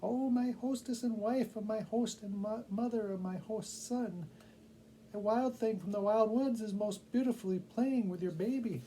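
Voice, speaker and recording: A small, excitable storybook bat voiced by a man chatters out its news. The pitch is lifted high and the tone is soft-edged and eager, with some noise behind it. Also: normal speed, close to an everyday voice, rough recording. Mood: flattering, announcing. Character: small upside-down cave bat, eager gossiping messenger.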